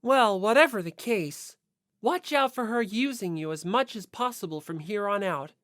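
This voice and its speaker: A woman voicing an aloof, skeptical teenage girl in an audiobook, with a deliberate, probing and detached delivery. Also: mid-range voice, lively intonation, no echo, studio quality, natural voice. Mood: dry scrutiny.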